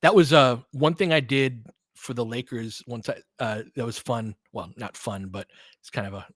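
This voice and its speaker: Male fan commentator, mid-pitched, calling basketball live in a loose, partisan, conversational way; close, dry recording. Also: moderate pace, a bit bright, dry recording. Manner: informal, partisan fan talk with mild swearing. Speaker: fan play-by-play host.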